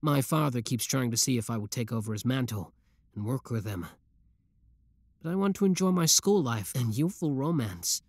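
A man's voice: mid-range voice, expressive, moderate pace, no echo. Man acting a lovestruck obsessive schoolboy villain. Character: shy schoolboy turned smug, obsessive yandere young villain. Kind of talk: roleplay audio acting, addressed to the listener.

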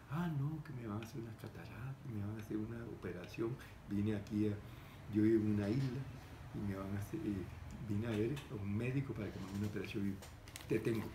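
An older man, telling a story in casual conversation and animatedly acting out the people in it. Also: lowish voice. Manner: animated, gestures a lot, voices the people in his story. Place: room with framed pictures, seated at a table indoors. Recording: echoey room with background noise.

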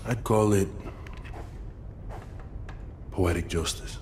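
An actor playing a burly, laconic male covert operative in a video game, talking in an unhurried way. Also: low voice, a little mellow, slight background noise. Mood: wry. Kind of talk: scripted video game cutscene acting.

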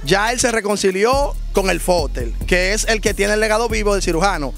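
A young man asks direct, casual questions on a street. His voice is high with a gravelly edge. He speaks into a handheld mic, with faint music behind him. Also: animated, fairly quick, fairly bright tone. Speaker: interviewer. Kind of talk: street interview. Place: outdoors on a city street.

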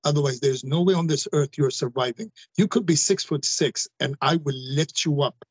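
A man in his forties talks forcefully over a video call, repeating himself for emphasis. His voice is a touch husky and sits a little high for a man. The sound is close and dry. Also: normal speed, no room sound. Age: 40s. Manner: forceful, repeats phrases, addresses listeners directly. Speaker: guest. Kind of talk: emphatic interview talk.

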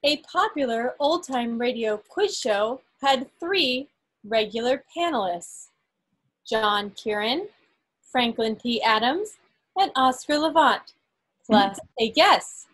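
A woman acting as quizmaster, reading quiz questions aloud to an online group in a deliberate way, pausing between phrases. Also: a little high, lively intonation, a bit bright, low-quality recording. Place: indoors on a group video call. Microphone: video call microphone.

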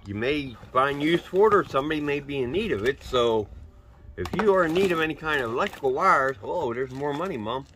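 A man's voice: medium pitch, bright, forceful tone, a little hiss or hum. A middle-aged man with a Western Pennsylvania accent, chatting folksily to viewers as he sorts through a storage unit. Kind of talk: casual unboxing commentary. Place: cluttered storage unit, indoors.

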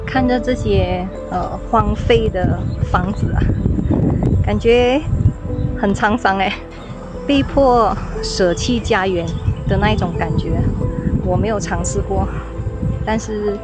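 A woman's voice: mid-range voice, takes it slowly, rough recording. A Malaysian woman narrating her motorcycle travel vlog in a reflective, chatty way, outdoors in a village. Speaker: motorcycle vlogger. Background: music.

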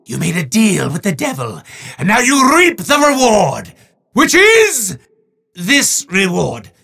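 A pompous male demon in a game who bellows his lines with theatrical menace and refers to himself in the third person. The voice swings wildly up and down in pitch, drags out its words slowly, and has a bright, forceful edge. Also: wildly swooping pitch, creaky, husky, full character voice. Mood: grandly menacing. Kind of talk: game character voice acting.

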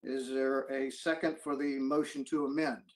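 A man chairing a formal meeting over a video call, speaking haltingly and hesitantly. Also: mid-range voice, level tone. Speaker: council chairman running a meeting. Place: indoors at home or office on a video call.

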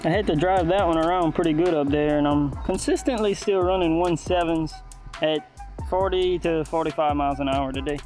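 Casting: male hobby vlogger, fairly high voice, casual off-the-cuff commentary, music underneath. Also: fairly quick.

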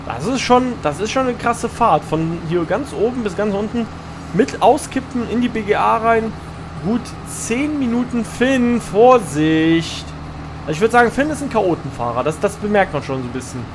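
A man's voice: sits high, lively intonation, moderate pace, noisy background. A man, streaming a farming game live, greeting viewers and answering chat as he plays. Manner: chatty, answers chat messages while playing.

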